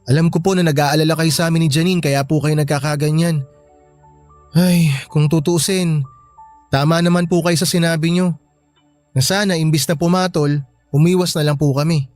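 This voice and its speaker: Radio drama son, played by a man: high boyish voice, hurt, respectful, pleading, protective of his sibling. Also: fairly even intonation, moderate pace, a little mellow, close to an everyday voice. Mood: apologetic. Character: young adopted son, hurt, respectful, protective big brother. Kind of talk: emotional radio drama acting. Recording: music bed behind the voice.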